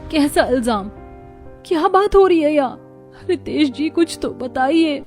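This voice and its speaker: A woman playing a devoted, anxious young wife in an animated family story. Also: high-pitched, measured pace, faint music behind.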